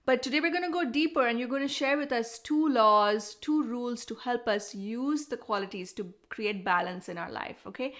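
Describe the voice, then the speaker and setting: A woman's voice: a little high, a bit bright. A woman hosting a wellness podcast, introducing the show and interviewing a guest in conversation. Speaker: podcast host, Ayurvedic practitioner.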